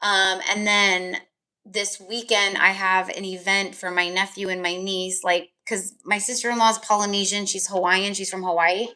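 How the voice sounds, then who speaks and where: A woman's voice: medium pitch, flat delivery, moderate pace, pushed and bright. A woman tarot reader chatting casually on a live stream, rambling with lots of fillers, her voice tired and crackly. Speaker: tarot reader and energy healer.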